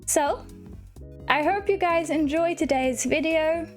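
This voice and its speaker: A woman's voice: extremely high pitch, slow pace, soft background music. A woman hosting a gaming video with casual, chatty, informal commentary. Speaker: gaming channel host.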